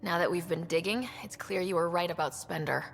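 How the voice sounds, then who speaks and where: An actress playing a sincere, supportive young leader in a video game, inquisitive, with a creaky edge to the voice. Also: female voice, fairly low pitch, a little fast, a little hiss or hum. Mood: determined. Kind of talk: video game dialogue acting. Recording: long reverberant hall sound.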